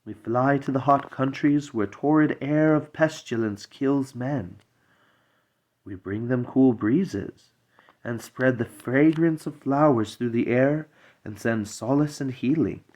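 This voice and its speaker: A man's voice: mid-range voice, takes it slowly, darkish tone, lightly acted. A man voicing a serene, ethereal female spirit of the air in a storybook, explaining things solemnly. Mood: solemn, hopeful.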